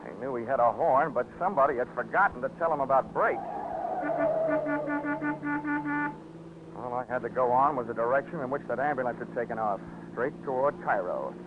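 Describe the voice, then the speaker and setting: An actor playing a tough, sardonic American private investigator in a 1940s radio detective drama, brusque and wisecracking. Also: male voice, medium pitch, fast, hardly any pauses, close to an everyday voice. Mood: wry narration. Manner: wisecracking, hard-boiled, brusque. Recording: noisy, tinny 1940s radio recording.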